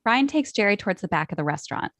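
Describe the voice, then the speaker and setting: A woman's voice: mid-range voice, sing-song, very fast, a bit bright, no room sound, studio quality. A woman reading stage directions as scripted narration for a table read.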